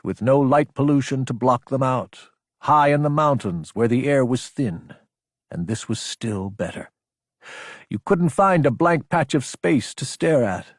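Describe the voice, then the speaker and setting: Husky male audiobook narrator, dry sound. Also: mid-range voice, dry recording. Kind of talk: scripted dramatic fiction narration.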